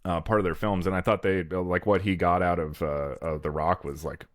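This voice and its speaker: Low-voiced man in rambling casual chat. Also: steady tone, normal speed, no echo, studio quality. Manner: rambling, peppered with "like" and "you know". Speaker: podcast co-host. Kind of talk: casual chat about films.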